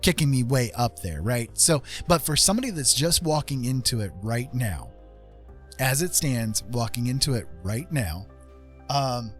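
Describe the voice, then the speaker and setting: A man's voice: medium pitch, lively intonation, moderate pace. A man chatting casually as a livestream host, explaining things in a rambling, emphatic way and repeating phrases for stress. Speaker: streamer host. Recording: music playing behind the voice. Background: music.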